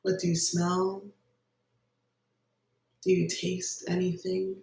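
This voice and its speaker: A meditation guide giving gentle, unhurried instructions with long pauses between phrases. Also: medium pitch, completely flat delivery, gravelly. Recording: roomy echo, distant microphone.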